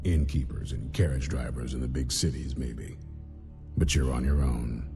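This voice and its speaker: An actor playing a stern, hostile vampire-hunter commander in a video game, with a gruff, blunt, threatening delivery. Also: male voice, a bass voice, fairly even intonation, normal speed. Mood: gruff, dismissive. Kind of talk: video game dialogue acting. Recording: hall reverb, music clearly behind.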